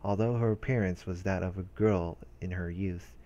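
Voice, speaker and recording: A man reading visual novel narration aloud as a let's play host, in a measured manner with brief casual commentary. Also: low-pitched, steady tone, warm tone, muffled recording, a little hiss or hum. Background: game music.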